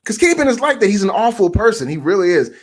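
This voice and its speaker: A man's voice: high voice, big pitch swings, fast. A man chatting casually as a co-host, talking in an emphatic, slangy way. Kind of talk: casual chat.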